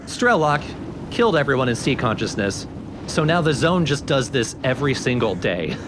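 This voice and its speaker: A man's voice: big pitch swings, moderate pace. A man giving casual, informal gameplay commentary, explaining things as the host of a let's play. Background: game sound effects and rumble.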